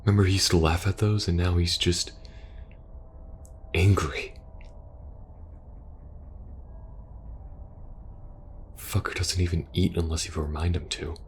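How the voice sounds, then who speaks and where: A man playing a war-weary, protective soldier in an intimate ASMR audio roleplay, speaking earnestly and hesitantly, sometimes hushed. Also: low voice, steady tone, moderate pace, a little hiss or hum, natural voice. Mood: frustrated, weary. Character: war-weary young male soldier pleading with best friend, protective, earnest.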